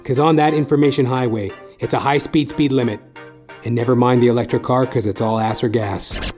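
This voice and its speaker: A man's voice: mid-range voice, a little fast, lightly acted. Man playing a wry noir private eye over music. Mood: wry, world-weary. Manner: wry, deadpan wisecracking. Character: sardonic, world-weary male private detective, crude and wisecracking. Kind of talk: hard-boiled noir narration in an audio dramedy. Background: music behind the voice.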